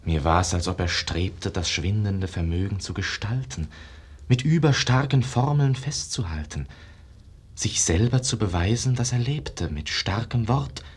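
Husky, slow, dreamy young disciple. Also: male voice, lowish voice. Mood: awed, troubled. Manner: slow, lyrical, solemn declamation of verse. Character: young male disciple of a dying painter, dreamy and rapturous. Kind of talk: 1950s German radio verse drama, declaimed. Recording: muffled-edged 1950s radio play recording.

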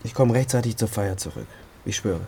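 A man's voice: lowish voice, a little fast, noisy background, natural voice. A man playing a weary, dutiful state prosecutor, narrating soberly and introspectively in a radio drama. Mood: apologetic. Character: weary, dutiful male state prosecutor telling his story. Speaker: narrating lead actor. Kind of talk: first-person radio drama narration and dialogue.

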